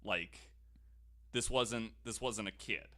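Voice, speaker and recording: A man's voice: on the low side, slow, sharp tone. A man hosting a podcast, telling history stories in casual, jokey chat. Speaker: podcast host.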